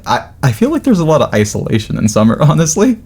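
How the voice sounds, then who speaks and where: A man's voice: a little high, wildly swooping pitch, fast talker, darkish tone, slightly rough. A young man hosting a casual podcast conversation, thinking aloud in a tentative, loose and informal way. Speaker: podcast host. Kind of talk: casual reflective chat.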